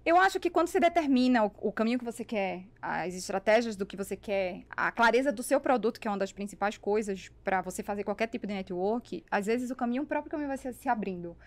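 A woman's voice: a little high, expressive, fast, few pauses. A woman in her thirties with a Brazilian accent, telling stories conversationally as a podcast guest, close on the mic. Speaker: podcast guest, business networker. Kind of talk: animated conversational storytelling. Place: small foam-treated podcast studio. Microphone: close podcast microphone on boom arm.

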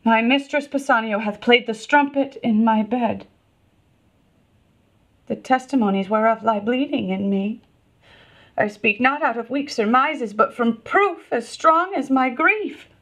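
A woman performs a betrayed young princess of classical tragedy, passionate and wounded. Her high voice moves slowly through each phrase, with intense, indignant theatrical emotion. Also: a bit bright. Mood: grave, stricken. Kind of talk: classical Shakespearean dramatic monologue.

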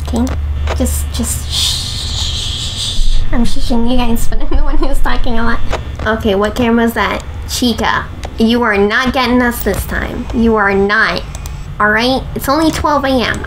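A woman's voice: a little high, background noise. A young woman with a headset, playfully commentating a horror game, chatting to her viewers and teasing the game characters. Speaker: gaming video host.

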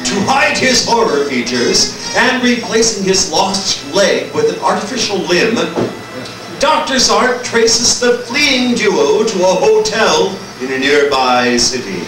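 Swooping, echoing horror-comic narrator. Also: male voice, high-pitched, very animated, takes it slowly, heavily acted. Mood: ominous. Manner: dramatic, portentous, swooping emphasis. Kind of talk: scripted horror story narration. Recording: very echoey, distant, music behind.

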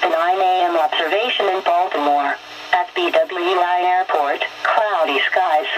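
A woman's voice: on the low side, fairly even intonation, unhurried, dull audio. A synthetic woman's voice reading automated weather observations in a clipped, impersonal way through a radio speaker. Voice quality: synthetic, robotic. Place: played from weather radio on wooden desk. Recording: noisy weather radio, re-recorded in room.

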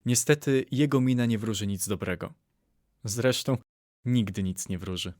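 A man's voice: lowish voice, moderate pace, a little mellow, no echo, clean studio sound. A man reading scripted story narration in a formal, wry announcer manner.

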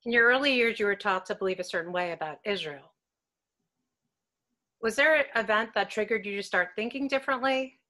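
A woman's voice: medium pitch, a little fast, pushed and bright. A woman hosting a web talk from a room at home, reading polished prepared questions over a video call. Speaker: host. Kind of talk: scripted web talk moderation.

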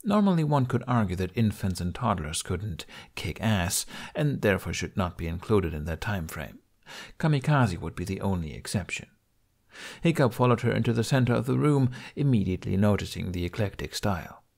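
A man narrates a story in a fairly low voice. His delivery is expressive and scripted, with pitch that moves around a lot as he tells the tale. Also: moderate pace, creaky. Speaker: audiobook narrator.

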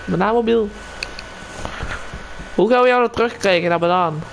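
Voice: mid-range voice, moderate pace, creaky, low-quality recording. A gamer in casual roleplay, playing a helpful guide and patiently explaining things. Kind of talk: casual game roleplay chat. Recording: game and vehicle noise behind.

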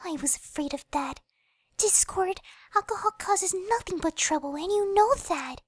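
A woman voicing a gentle, caring, worried young pegasus pony in an animated radio play, soft-spoken and earnest. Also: extremely high pitch, normal speed, no echo. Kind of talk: fan-made animated radio play acting.

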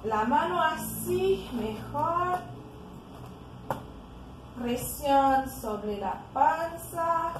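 A young woman from Haiti, speaking with a Haitian accent, talks slowly through a home workout, tired and puffing between her cues. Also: high-pitched, expressive. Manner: slow, breathy puffing between exercise cues. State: tired from exercising. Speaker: fitness vlogger. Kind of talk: casual home workout instruction. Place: living room at home. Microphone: camera on the floor nearby. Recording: echoey room with background noise.

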